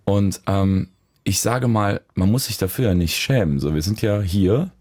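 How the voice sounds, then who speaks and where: A man, a musician, talking reflectively in an interview, searching for words with pauses and restarts. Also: lowish voice, darkish tone, dry recording, clean studio sound. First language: German. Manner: thoughtful, searching, with fillers and restarts. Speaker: musician guest.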